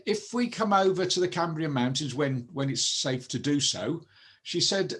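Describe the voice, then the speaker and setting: A man's voice: fairly high pitch, slightly rough. A man hosting a casual radio show, reading out listener messages in an easygoing way. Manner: relaxed, reads out listener messages. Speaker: radio host.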